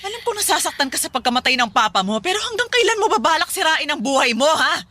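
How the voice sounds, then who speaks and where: Bright, high-voiced worried stepmother. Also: big pitch swings, fast, heavily acted. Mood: scolding, worried. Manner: pleading, scolding. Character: worried, stern, loving stepmother of a troubled teenager. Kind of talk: Tagalog radio drama acting. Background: background music.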